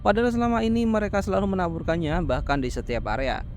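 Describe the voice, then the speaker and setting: A man narrating a horror film recap in a casual, brisk way, dropping slangy joking asides between plot beats. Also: high-pitched, sing-song, a little mellow, faint music behind.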